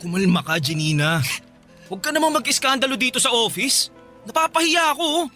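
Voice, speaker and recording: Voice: mid-range voice, expressive, fairly quick, bright, forceful tone. A performer playing an exasperated, career-minded young man, a fed-up ex-boyfriend, in a Filipino radio drama with impatient delivery. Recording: faint music behind the voice.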